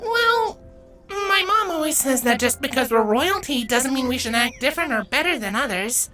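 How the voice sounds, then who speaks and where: A woman's voice: high voice, lively intonation, moderate pace, over music, pitched above the performer's own voice. A woman voicing an earnest, easily indignant young changeling princess filly in a thin, girlish voice for a story. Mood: tentative. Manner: hesitant, polite, easily huffy.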